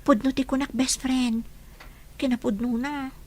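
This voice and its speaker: A woman's voice: high voice, fairly even intonation, unhurried, darkish tone. A woman with an Ilocano accent playing a gossipy, sympathetic young village friend in a radio drama, confiding and insistent.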